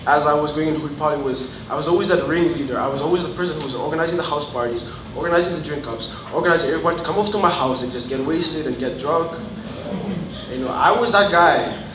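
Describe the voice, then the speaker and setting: Young man, Fijian accent, earnest halting testimony, distant mic, clear background noise. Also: on the high side, roomy sound. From Fiji. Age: 20s. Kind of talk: personal testimony, informal public speaking.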